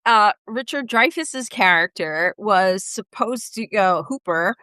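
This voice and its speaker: A woman's voice: mid-range voice, expressive, takes it slowly, bright, forceful tone, no room sound, clean studio sound. A woman guest on a casual live podcast chat, easygoing and hedging, laughing often.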